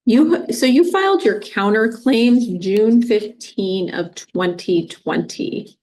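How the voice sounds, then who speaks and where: Measured American woman judge, mid-pitched. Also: animated, a little mellow, low-quality recording. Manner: measured, formal, firm. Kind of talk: unscripted courtroom ruling. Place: courtroom.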